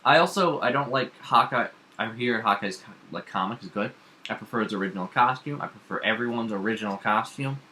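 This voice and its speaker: A man chatting casually, animated and opinionated, nitpicking in quick bursts. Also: medium pitch, a little hiss or hum. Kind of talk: casual chat.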